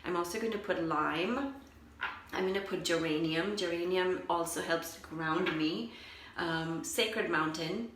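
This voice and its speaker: Lady coach chatting on a livestream, says like a lot, kinda low voice, echoey room. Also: measured pace, fairly bright tone, a little hiss or hum. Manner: chatty and reflective, often says "like". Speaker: spiritual business coach. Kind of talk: casual livestream talk to viewers.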